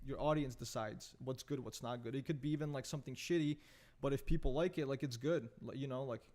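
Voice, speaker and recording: A man's voice: mid-range voice, darkish tone. A man in his late twenties, from Serbia, talking casually as a podcast guest, rambling with lots of "like" and "dude.". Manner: rapid rambling with constant fillers like "like" and "dude". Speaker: podcast guest, photographer and content creator.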